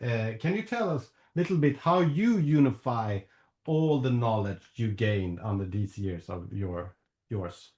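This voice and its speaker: A man's voice: medium pitch, lively intonation, a little slow, a little mellow. A man hosting a spiritual podcast over a video call, asking long questions and often restarting his sentences. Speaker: podcast host. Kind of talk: interview questions.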